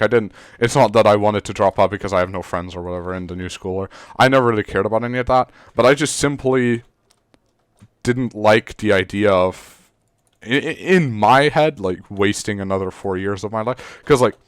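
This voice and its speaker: Rambling Serbian teen boy, smooth voice. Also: on the low side, dry recording, studio quality. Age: teens. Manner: rambling, many fillers and restarts, swears casually. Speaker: podcast co-host. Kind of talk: casual chat.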